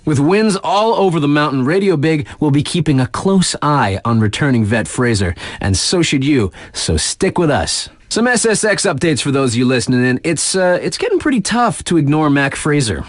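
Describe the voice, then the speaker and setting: A man's voice: fairly high pitch, big pitch swings, moderate pace, hardly any pauses, dry recording, studio quality. An actor playing an upbeat, cool male radio DJ in a video game, delivering news patter with chummy slang and hype for the athletes. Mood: upbeat, hyped.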